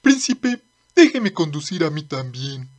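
A fussy old demon attendant from a cartoon dub, acted by a woman. He whines and frets deferentially in slow phrases that swoop widely in pitch. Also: very animated, darkish tone, full character voice. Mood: pleading. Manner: whiny, fretful, deferential. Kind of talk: amateur manga dub acting.

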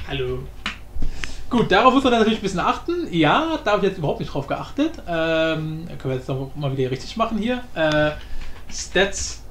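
An echoey room recording with faint noise carries a man's voice, lively and swinging in pitch. He talks casually and slangily, muttering to himself as he works through a coding tutorial. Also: moderate pace. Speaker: tutorial host and hobby game programmer. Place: indoor room, voice over screen capture. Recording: roomy echo, faint background noise.

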